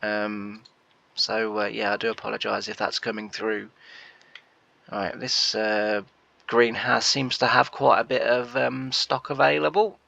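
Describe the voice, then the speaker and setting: Male game host, stuffy-nosed from a cold, rambling, deepish bright voice, dull dry mic. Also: level tone, a little slow, creaky, no echo. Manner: rambling, many fillers and restarts. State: nasal from a cold. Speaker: gameplay host. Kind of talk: casual gameplay commentary.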